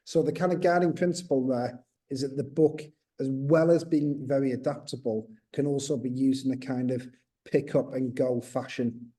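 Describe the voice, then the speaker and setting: A man's voice: medium pitch, fairly even intonation, normal speed, warm tone. A man presenting on a video call webinar, explaining at length, with many fillers and restarts, and a slight accent of his own. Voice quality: says he has a slight accent. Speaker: textbook co-author.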